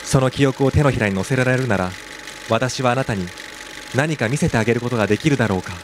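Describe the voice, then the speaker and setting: Wistful monologue narrator, played by a man: earnest, brisk, mid-range, over noisy ambience. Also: mid-range voice, close to an everyday voice. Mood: wistful reflective. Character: wistful male narrator reflecting on memories. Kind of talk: scripted radio drama monologue. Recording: clear engine-like ambient noise.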